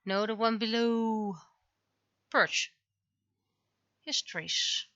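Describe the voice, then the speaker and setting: A woman's voice: fairly high pitch, steady tone, very slow, sharp tone, smooth, lo-fi sound. A woman chatting casually on a livestream while she works on her art, rambling and thinking aloud. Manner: rambling, thinking aloud, acts out remembered exchanges. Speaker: livestreamer, webcomic artist.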